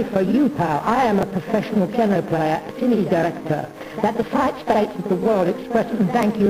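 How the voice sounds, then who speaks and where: A performer delivering a rambling, mannered theatrical monologue, addressing the listener familiarly as a pianist would from the stage. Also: medium pitch. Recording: roomy echo, distant mic, music behind.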